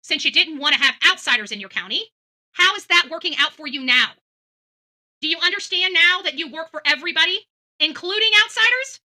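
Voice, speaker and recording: A woman's voice: very high voice, animated, fairly quick, pushed and bright. A woman giving unscripted, blunt and accusatory opinion commentary as a vlog host.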